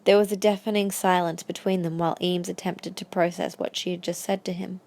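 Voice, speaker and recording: A woman's voice: on the low side, little pitch movement, a little fast, a little mellow. A woman reading scripted story narration as the narrator of a fan audio drama.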